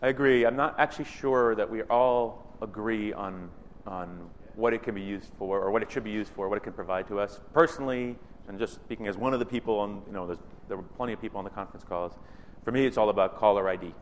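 A man with a loud voice presenting slides at a technical meeting, speaking casually with fillers. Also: lowish voice, fast talker, big-room sound, a little hiss or hum. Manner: loud voice, conversational with many fillers. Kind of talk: presenting slides, then open floor discussion. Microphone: microphone in a meeting room.

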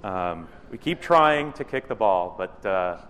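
A man with a loud voice presenting slides at a technical meeting, speaking casually with fillers. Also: lowish voice, expressive, unhurried, long reverb tail. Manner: loud voice, conversational with many fillers. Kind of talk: presenting slides, then open floor discussion. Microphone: microphone in a meeting room.

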